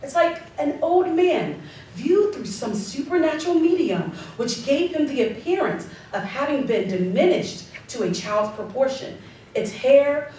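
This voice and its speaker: A woman narrating a classic story for a live stage radio drama, theatrical and emphatic, stressing words deliberately. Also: high voice, a little mellow. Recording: distant mic, very echoey live hall, slight noise.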